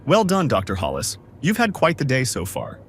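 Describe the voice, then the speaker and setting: A man's voice: medium pitch, huge pitch swings, moderate pace, a bit bright. A man playing a courteous, methodical crew brother in a science fiction fan audio drama. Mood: kindly. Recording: faint background noise.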